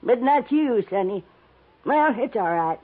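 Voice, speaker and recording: A woman's voice: fairly high pitch, animated, a little slow, phone-quality sound. An actress playing a wily, sweet-talking old American frontier woman with a cracked voice and a folksy drawl, in a 1950s radio drama. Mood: sly, wheedling. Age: older. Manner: folksy rustic drawl, sly.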